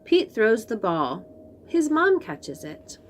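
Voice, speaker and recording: A woman reading a picture book aloud to young children, slow and expressive, then chatting about the books. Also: a little high, slight background noise. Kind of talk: read-aloud storytime for young kids.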